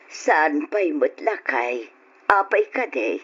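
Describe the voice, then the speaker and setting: A woman's voice: low-pitched, big pitch swings, slow pace, fairly bright tone, slight background noise, full character voice. An actress playing a middle-aged wife gossiping with her husband, on a lo-fi old radio drama recording. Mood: gossipy.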